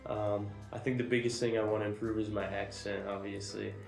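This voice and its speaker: A man's voice: lowish voice, level tone, a little fast, creaky, a little mellow. A young man from the United States, a missionary, answering interview questions in an easygoing way with lots of "um" and "like". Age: young adult. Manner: easygoing, fillers like um and like. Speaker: missionary guest. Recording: echoey room with music behind.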